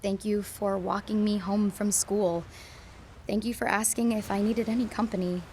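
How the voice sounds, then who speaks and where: A woman's voice: mid-range voice, little pitch movement, moderate pace, darkish tone, slight background noise, close to an everyday voice. An actress playing a young woman, tender and teasing, recalling a first kiss in a radio drama. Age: young adult. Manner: breathless, giddy, teasing and tender.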